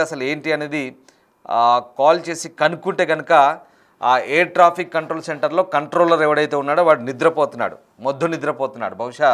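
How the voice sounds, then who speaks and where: A man's voice: fairly high pitch, a bit bright. A man presenting a news explainer, speaking off the cuff and repeating phrases for emphasis. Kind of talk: semi-improvised news explainer commentary.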